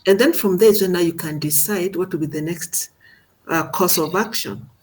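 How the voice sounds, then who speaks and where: A woman's voice: on the low side, normal speed, a little mellow. A middle-aged woman, teaching radiology in an informal seminar and explaining things step by step with questions along the way. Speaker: radiology instructor.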